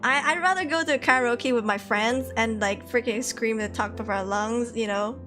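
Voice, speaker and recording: A young woman streamer from Malaysia chats casually with her viewers, her voice sitting a little high. She laughs a lot and scatters fillers through her talk. Soft music plays behind her. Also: normal speed. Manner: chatty, laughs often, frequent fillers. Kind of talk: casual chat reading viewer comments.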